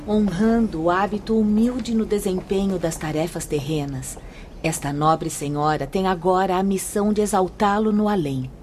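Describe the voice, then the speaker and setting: A woman plays a reverent female spirit guide with a soft, mellow voice and a Brazilian accent. Her manner is formal and courteous, in radio soap style, over faint hiss. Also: fairly low pitch, normal speed, natural voice. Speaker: actor in a radio drama.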